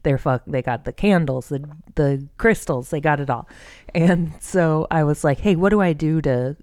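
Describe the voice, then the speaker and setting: A woman's voice: low voice, normal speed, a little mellow, dry recording, studio quality. An American woman co-hosting a podcast, chatting and telling stories in an informal, jokey way. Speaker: podcast co-host. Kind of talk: casual chat and storytelling.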